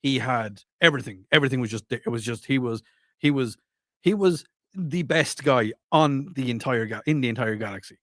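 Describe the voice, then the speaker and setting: Excitable Irish man, dry close mic. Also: mid-range voice, moderate pace, dry recording. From Ireland. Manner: excitable, repeats himself when worked up. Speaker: podcast co-host. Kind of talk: casual chat.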